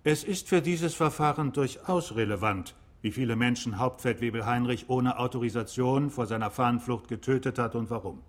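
An actor playing an authoritative presiding judge in a radio drama, formal and composed. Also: male voice, mid-range voice, moderate pace, hardly any pauses, close to an everyday voice. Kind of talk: German radio drama acting.

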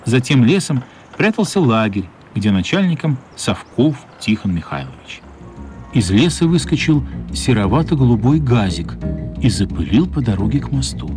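A man's voice: mid-range voice, moderate pace, soft background music. A man narrating a radio play as scripted, dramatic storytelling. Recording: light steady background noise.

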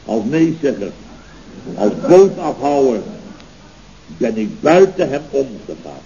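A man's voice: mid-range voice, mellow. A man playing a pompous, indignant, self-satisfied building contractor in a scripted radio comedy, blustering and rambling in grand words. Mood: aggrieved. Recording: dull, noisy old radio recording.

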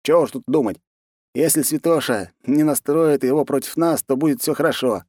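Blunt comrade-in-arms, voiced by a male narrator, matter-of-fact, higher pitch, fantasy audiobook. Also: fairly quick, mellow, no room sound, studio quality, close to an everyday voice. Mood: exasperated. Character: blunt, exasperated soldier, a commander's comrade.

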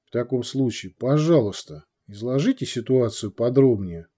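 A man voicing a measured, calm commissar. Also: mid-range voice, breathy edge. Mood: patient. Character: calm, patient male police commissar.

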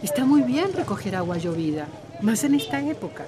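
Practical townswoman played by a woman: medium pitch, matter-of-fact, conversational radio-play delivery. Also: very animated, a little fast, a crowd in the background, lightly acted. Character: frank, practical village woman neighbour. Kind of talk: radio drama acting.

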